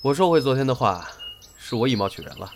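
A man's voice: medium pitch, expressive, fast, slight background noise, natural voice. A man playing a good-natured but blunt senior comedy apprentice in an audio drama, bantering. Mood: teasing. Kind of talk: audio drama acting, colloquial dialogue.

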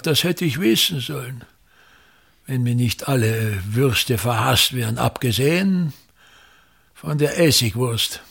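An actor playing a pompous, embittered old touring actor-manager in a radio play, declaiming grandly with a gravelly, husky voice. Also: male voice, medium pitch, a bit bright, full character voice. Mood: pompous, aggrieved. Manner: declamatory, grandiose, emphatic repetition. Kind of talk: theatrical radio play monologue.

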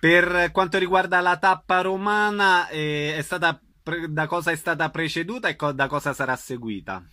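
A man's voice: a little high, moderate pace, pushed and bright. A man asking questions as the interviewer on a radio programme.

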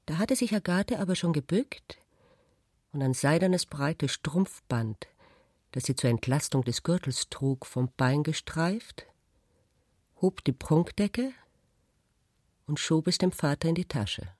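Higher-voiced man narrating literature, dry sound. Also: animated, takes it slowly, long pauses, a little mellow, no echo. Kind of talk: literary scripted narration for radio drama.

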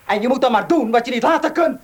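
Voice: mid-range voice, animated, quick pace, natural voice. An actor playing a resentful young nephew in a radio drama, sullen and threatening. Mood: defiant.

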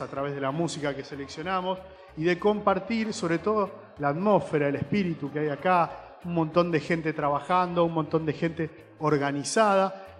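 A man's voice: fairly high pitch, big-room sound. An Argentine man from Rosario hosting a live community radio broadcast outdoors at a festival, chatty and joking. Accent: Rosario Argentine Spanish. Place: outdoor festival grounds, stage nearby.

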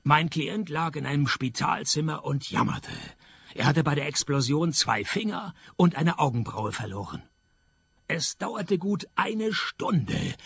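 A man acting a sardonic private detective, narrating a comic noir radio play with deadpan, dry sarcasm. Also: mid-range voice, gravelly, breathy edge, full character voice.

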